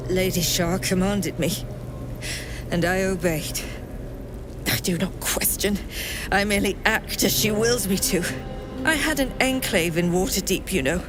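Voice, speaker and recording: Brief: actress as imperious, cruel female cult mentor for fantasy game, deliberate slow delivery, grandiose and taunting, loud music underneath. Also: mid-range voice, close to an everyday voice. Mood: solemn, unrepentant. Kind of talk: theatrical fantasy game acting. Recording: music playing behind the voice.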